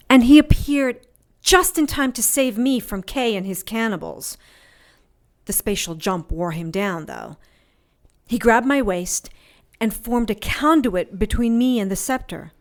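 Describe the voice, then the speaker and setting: A woman playing a tough, wounded adventurer in an audio drama, telling her story in a wry, sardonic, colloquial way. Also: mid-range voice.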